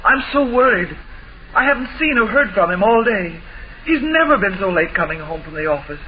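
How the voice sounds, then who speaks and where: A woman's voice: medium pitch, expressive, normal speed, close to an everyday voice. A woman playing a fretful, protective wife, anxious and pleading, in an old-time radio drama. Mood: worried. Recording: noisy muffled old radio broadcast.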